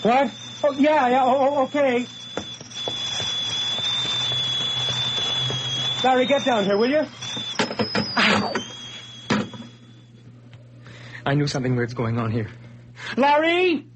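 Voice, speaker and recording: Jittery Jim on the edge. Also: male voice, very high-pitched, very animated, moderate pace, highs cut off, heavy background noise. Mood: alarmed. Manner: jumpy, anxious, pleading. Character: nervous man Jim, recovering from a breakdown. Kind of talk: old-time radio drama acting.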